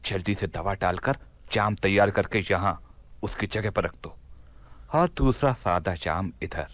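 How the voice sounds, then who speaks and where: Low-voiced, shrewd disguised ruler. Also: male voice, a bit bright, dry recording. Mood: commanding. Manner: measured, authoritative. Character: shrewd, composed Caliph disguised as a merchant. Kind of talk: Hindi radio play acting.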